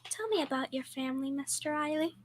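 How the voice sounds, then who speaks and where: High-voiced grieving widow played by a woman. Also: expressive, fast talker. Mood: polite, subdued. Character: grieving, reclusive young war widow, polite and fragile. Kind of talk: old-time radio drama acting, amateur school performance. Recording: clear background noise.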